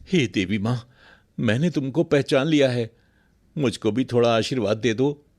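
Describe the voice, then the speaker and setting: A man's voice: mid-range voice, a little slow, darkish tone, lightly acted. A man playing a humbled, envious family man in a moral storybook tale, speaking earnestly and pleadingly. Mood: pleading, repentant. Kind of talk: scripted moral storybook acting.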